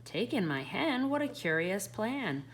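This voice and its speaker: Woman voicing a plaintive, high-pitched little old lady. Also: sing-song, unhurried. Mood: plaintive, puzzled. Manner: exclamatory, plaintive. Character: grumbling, pleading little old lady in cramped house. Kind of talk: children's picture-book read-aloud. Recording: clearly noisy home recording.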